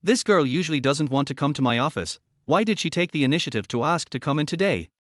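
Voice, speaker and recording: A man's voice: fairly high pitch, animated, quick pace, no echo, clean studio sound, lightly acted. A man voicing a lecherous, stingy older male boss in a storybook-style recap. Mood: smug.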